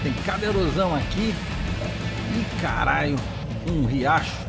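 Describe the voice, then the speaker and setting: A man's voice: fairly high pitch, animated, measured pace, vocal fry. A Brazilian man giving casual, slangy, exclamatory vlog commentary to viewers as he rides a motorcycle outdoors. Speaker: motorcycle travel vlogger. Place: outdoors, riding a motorcycle on rural trails. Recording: loud music over the voice.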